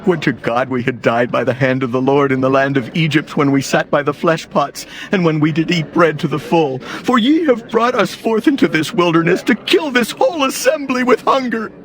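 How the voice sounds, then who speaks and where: Man acting a bitter, high-voiced complaining Israelite. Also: expressive, normal speed, few pauses, natural voice. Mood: bitter, aggrieved. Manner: aggrieved, declamatory. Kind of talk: dramatized scripture audio acting.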